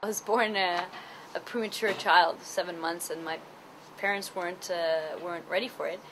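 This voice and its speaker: A woman from Chile, a theatre artist, talking in an earnest, thoughtful interview with many pauses. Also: on the low side, fairly even intonation, heavy background noise. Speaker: theatre artist interviewed about her play. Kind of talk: reflective personal interview.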